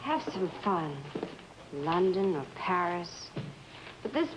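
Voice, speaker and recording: An actress playing a bitter, bored, sardonic wife with a cutting manner in 1950s television drama style. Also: female voice, lowish voice, big pitch swings, slow pace. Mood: discontented. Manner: cutting, dismissive. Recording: dull vintage soundtrack with faint noise.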